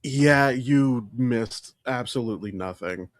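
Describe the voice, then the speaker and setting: A man's voice: medium pitch, slow, no echo, clean studio sound. Opinionated man chatting on a podcast. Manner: frequent casual swearing; opinionated, emphatic ranting. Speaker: podcast co-host. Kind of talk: casual pop-culture chat.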